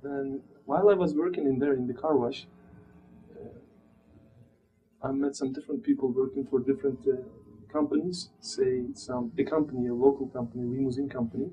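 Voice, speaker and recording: A man in his thirties with a Turkish accent, speaking haltingly and searching for words as he reflects in an interview. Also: medium pitch, animated, vocal fry. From Turkey. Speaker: interviewee, immigrant telling personal story. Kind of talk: reflective personal interview testimony. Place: small plain room indoors. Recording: dull muffled sound, background room noise.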